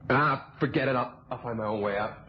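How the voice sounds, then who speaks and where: A man's voice: medium pitch, fairly quick, natural voice. An actor playing a cornered, unrepentant murderer and unfaithful family man in a vintage radio drama. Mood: weary, confessing. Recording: dull noisy vintage radio recording.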